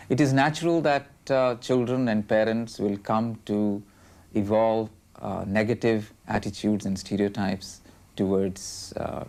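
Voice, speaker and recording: A man speaks in a documentary interview, his voice sitting fairly low. He explains at a measured pace, pausing often with small fillers as he works through his thoughts. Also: a little mellow. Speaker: language researcher.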